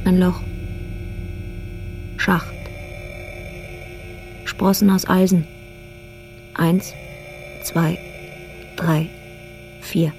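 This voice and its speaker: An actress playing an uneasy woman drawn into a detective's nightmare in a radio play, speaking in halting fragments. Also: lowish voice, level tone, a little mellow, soft background music. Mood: dread, disbelief. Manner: halting, short fragmented phrases.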